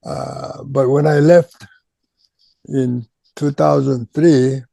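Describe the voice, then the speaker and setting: An older man, a native Korean speaker with a Korean accent, recalling his career as a retired chemistry professor in an oral history interview, speaking haltingly with many pauses and repeated words. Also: mid-range voice, steady tone, vocal fry, mellow, no echo. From Japan.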